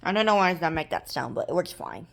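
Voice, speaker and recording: A woman giving a casual, rambling, halting show-and-tell of gear as a hobbyist presenter. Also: mid-range voice, expressive, gravelly, fairly bright tone. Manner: halting, rambling, improvised delivery. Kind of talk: casual product showcase. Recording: noisy room with clicking handling sounds. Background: noise, clicks, radio broadcasts.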